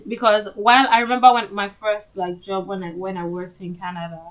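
A woman's voice: mid-range voice, flat delivery, a little fast, highs cut off. A woman with a Nigerian accent co-hosting a podcast, talking casually and earnestly as she shares her opinions. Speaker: podcast co-host. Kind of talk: casual conversational discussion.

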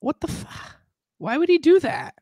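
A man's voice: very high-pitched, big pitch swings, normal speed, warm tone, no room sound. A man co-hosting a podcast with a nasal, congested voice from a cold, bantering in a dry, teasing way. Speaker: podcast co-host. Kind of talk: casual chat.

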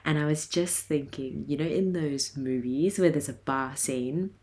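A woman in her twenties with a hoarse, raspy voice, chatting casually and laughing often as she hosts her podcast. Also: low-pitched, measured pace, a little mellow. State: hoarse from a night of singing. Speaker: podcast host. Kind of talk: casual solo podcast chat.

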